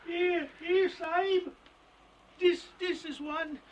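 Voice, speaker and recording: An actor playing a terrified, cowering servant in a radio drama, whimpering and halting. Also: male voice, very high voice, fairly even intonation, muffled recording. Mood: timid. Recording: faintly noisy radio drama recording.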